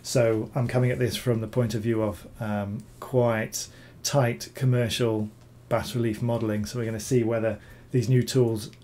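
Croaky man demoing software. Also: mid-range voice, level tone, moderate pace, few pauses. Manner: rambling, repeats words, many ums. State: hoarse, recovering from illness. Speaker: bas-relief sculptor reviewing software. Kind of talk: screen-recorded software tutorial.

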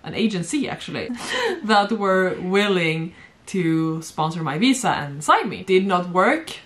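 A young woman from Sweden recounts her modeling years in relaxed, chatty speech. Her voice sits slightly low and often breaks into giggles. She talks on camera at home, seated beside a friend. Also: unhurried. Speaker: former model, guest. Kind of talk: casual storytelling chat. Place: bright home room, seated on sofa.

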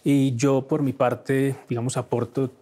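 A man's voice: mid-range voice, level tone, unhurried, warm tone, husky. A Colombian man in his forties, a violinist speaking in an interview, thoughtful with frequent hesitations, recorded on a lapel mic in a concert hall.

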